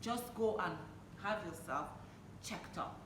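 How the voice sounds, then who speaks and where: A woman's voice: mid-range voice, lively intonation, slow. A woman speaking as an interview guest, sharing personal testimony in conversation. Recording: echoey room, distant mic, noisy background.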